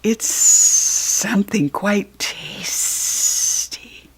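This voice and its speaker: A woman voicing a smooth, sly, hungry male snake in a children's story, whispering breathily with slow, menacing politeness. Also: mid-range voice, low-quality recording. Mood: smooth assurance.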